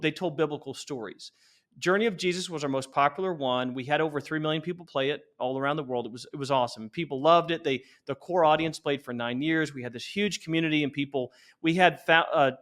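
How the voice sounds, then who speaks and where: Guy in his 40s, kinda higher voice, earnest interview guest pitching stuff, restarts a lot. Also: fairly bright tone. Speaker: interview guest, company founder.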